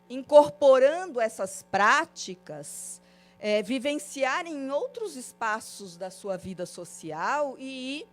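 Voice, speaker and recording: Woman facilitator, lecture delivery, rhythmic lists, faint music underneath. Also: a little high. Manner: listing points in rhythmic series, frequent filler "né". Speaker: course facilitator. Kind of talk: classroom lecture on citizenship. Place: meeting room of a training course.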